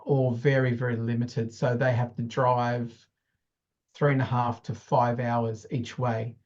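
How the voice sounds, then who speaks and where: A man's voice: mid-range voice, flat delivery, unhurried, a little mellow. Man speaking casually, dull muffled audio. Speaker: course participant, dentist. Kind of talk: casual self-introduction to a group.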